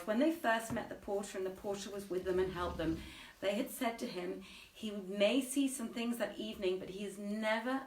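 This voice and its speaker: A woman hosting a live online reading, talking casually and digressively as she greets viewers and recaps a story. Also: medium pitch. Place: indoor room, live online stream. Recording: roomy echo, distant from microphone.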